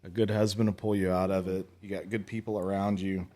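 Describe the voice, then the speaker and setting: A man's voice: low-pitched, level tone, a little fast, dark tone. A man in his forties hosting a podcast as a casual, blunt, joking chat. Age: 40s. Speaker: podcast host.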